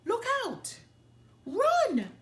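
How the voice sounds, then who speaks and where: A woman's voice: very high-pitched, huge pitch swings, very slow, reverberant, pitched above the performer's own voice, heavily acted. A woman voicing a big young male bear, a bossy, taunting gang leader in a children's storybook. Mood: alarmed.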